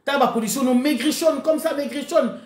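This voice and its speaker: A woman's voice: high voice, fairly quick, a little mellow, reverberant. A Congolese-accented woman delivering an impassioned political rant to her online followers, exhorting them and repeating phrases emphatically as she switches between Lingala and French. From Congo (DRC). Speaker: online political commentator, video host.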